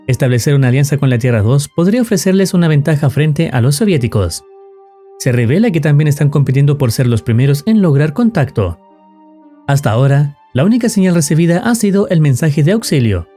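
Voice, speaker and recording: A man's voice: on the high side, mellow. A man reading scripted narration in the role of a storyteller explaining a tale.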